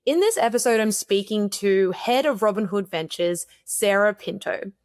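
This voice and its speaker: A woman's voice: mid-range voice, lively intonation, moderate pace, a little mellow, no echo. Woman hosting a podcast, dry sound. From Australia. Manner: upbeat, enthusiastic. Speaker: podcast host. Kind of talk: podcast interview.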